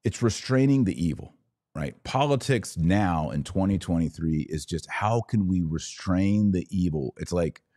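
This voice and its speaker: Very deep, dark-voiced American man. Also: lively intonation, unhurried. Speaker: podcast host. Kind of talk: live political and religious commentary answering viewer comments.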